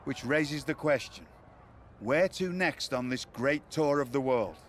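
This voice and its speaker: A man's voice: medium pitch, measured pace, a little hiss or hum, natural voice. A man playing a dapper wrestling promoter with articulate, theatrical delivery and dry wit in video game cutscenes. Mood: dry, teasing.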